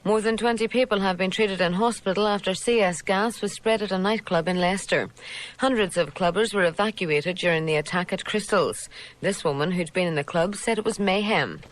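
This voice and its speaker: A woman with a Northern Irish accent reading a scripted radio news bulletin in a formal, measured way. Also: medium pitch, low-quality recording. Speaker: radio newsreader.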